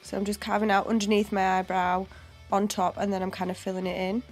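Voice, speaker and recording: A woman's voice: mid-range voice, level tone, brisk. Young girl w a cold, chesty voice, chatty makeup voiceover, faint music behind. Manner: casual, chatty, step-by-step explaining. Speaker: beauty vlogger. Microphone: home voiceover mic.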